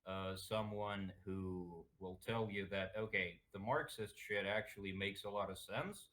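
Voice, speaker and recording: A man in his thirties from Russia, talking casually on a video call stream, hesitant with many fillers and throat clearing. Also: sits low, unhurried, fairly bright tone. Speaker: guest. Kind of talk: casual chat.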